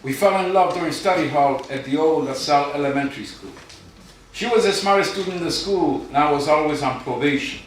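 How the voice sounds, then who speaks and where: A middle-aged man reading his poetry live, with informal asides and a wry, deadpan storytelling manner. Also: on the high side, a little fast. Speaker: poet. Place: live event in a reverberant room, audience present. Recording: very echoey hall, distant, noisy.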